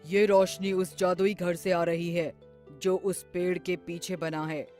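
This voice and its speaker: A woman voicing a calm male sage in a children's story, measured and instructive. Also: on the low side, faint music behind, voice pushed down, lightly acted. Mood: calm, cautioning.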